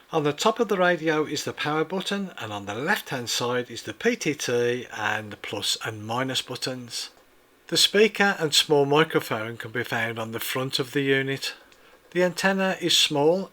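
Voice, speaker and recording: Guy reading out a gadget review, bright voice, careful step by step instructions. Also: mid-range voice. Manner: measured, precise, step-by-step instructions. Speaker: product reviewer. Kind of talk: scripted product review narration.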